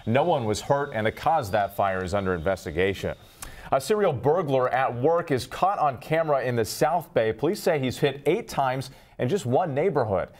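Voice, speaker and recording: A man's voice: mid-range voice, lively intonation, normal speed, a little mellow. A man with an American accent reading scripted television news as an anchor.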